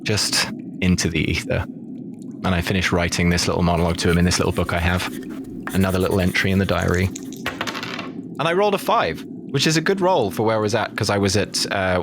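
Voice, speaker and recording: A man playing a tabletop game, talking in a quick, animated way and laughing and swearing freely. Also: low voice, noisy background. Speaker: player. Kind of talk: casual tabletop play.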